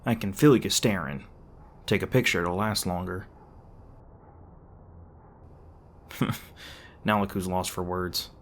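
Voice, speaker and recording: A man playing an edgy, sarcastic but secretly soft-hearted monster hunter in an ASMR roleplay, prone to stammering when flustered. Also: sits low, normal speed, a little hiss or hum, lightly acted.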